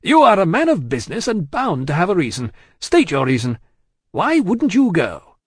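Casting: big self-important man of business acted by a male reader, blustering and forceful, dry close sound, classic novel dialogue. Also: big pitch swings, moderate pace, husky, no room sound, heavily acted. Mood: blustering, demanding. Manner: booming, pompous, forceful exclamations.

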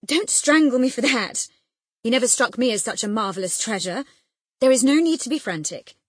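A woman voicing a peevish, scornful young gentleman husband in an audiobook, cross and fussy. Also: medium pitch, expressive, a little fast, slightly rough, dry recording, studio quality. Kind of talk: audiobook character acting.

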